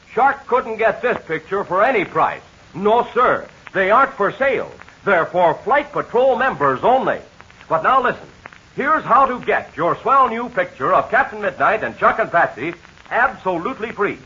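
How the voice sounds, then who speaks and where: A man announcing a 1940s radio serial, making the sponsor's pitch to young listeners in an eager, breathless kid-show sales style, heard on a dull lo-fi old radio transcription. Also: pushed and bright, husky.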